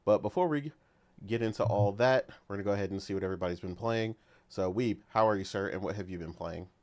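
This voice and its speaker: A man hosting a gaming podcast, opening the episode in a brisk, upbeat manner. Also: fairly low pitch, darkish tone. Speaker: podcast host.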